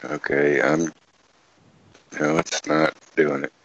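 A man's voice: extremely low pitch, level tone, slow pace, no echo, muffled recording. A man calling into a casual show and telling easygoing stories over a remote call. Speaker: guest caller. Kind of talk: casual chat. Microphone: remote call audio.

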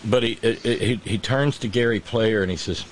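A man's voice: fairly low pitch, normal speed, slight background noise. A man hosting a casual political chat, sarcastic and mocking, often restarting his sentences. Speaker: podcast host.

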